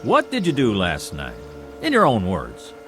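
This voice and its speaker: An actor playing a folksy, coaxing gatekeeper of the afterlife in a vintage TV drama, with a rural Southern American accent. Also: male voice, fairly low pitch, huge pitch swings, a bit bright, faint music behind, full character voice. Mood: sly, genial. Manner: folksy, coaxing, drawn-out phrasing. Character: folksy, wheedling male gatekeeper of a false heaven. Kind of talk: vintage television drama acting.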